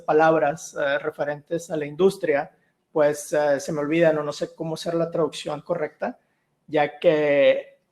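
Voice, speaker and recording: A middle-aged man from Mexico, giving a tech talk on a video call, relaxed and full of "eh" fillers. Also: on the high side. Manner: relaxed, with many "eh" and "ah" fillers and restarts. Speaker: guest speaker, solution architect. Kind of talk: online tech meetup presentation.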